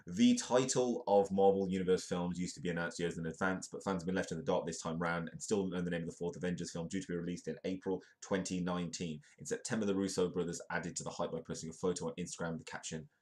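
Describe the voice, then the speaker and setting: A man with a very low, deep voice and a British accent, hosting a comedic news stream. His nose is stuffed up and bunged from a cold, and he keeps a chatty, joking tone while reading stories aloud. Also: fairly even intonation, fast talker, hardly any pauses, darkish tone. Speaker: host. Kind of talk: comedic live news show.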